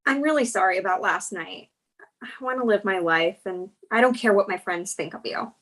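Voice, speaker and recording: A woman's voice: mid-range voice, moderate pace, a bit bright, natural voice. A woman playing a candid, exasperated young girlfriend with a wry manner in a comedy script table read. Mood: apologetic.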